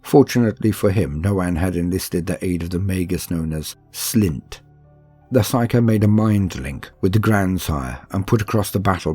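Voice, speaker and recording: A man with a deep voice narrates from a book. His delivery is calm and steady, measured like an audiobook meant for relaxing to. Quiet music plays behind him. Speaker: narrator reading a campaign book aloud. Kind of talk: scripted narration, calm bedtime-style audiobook reading.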